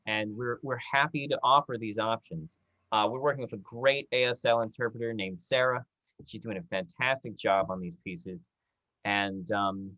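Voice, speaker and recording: A man's voice: medium pitch, a bit bright, lo-fi sound. Male moderator, casual and encouraging, hosting an online arts talkback. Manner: appreciative, encouraging. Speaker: host of a post-show discussion. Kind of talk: casual moderated panel talk. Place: online video discussion.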